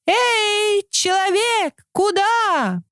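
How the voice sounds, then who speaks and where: A woman's voice: very high voice, lively intonation, extremely slow, a bit bright, no room sound, clean studio sound, pitched above the performer's own voice, full character voice. A woman voicing a small, pleading river fish in a storybook tale. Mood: calling, pleading.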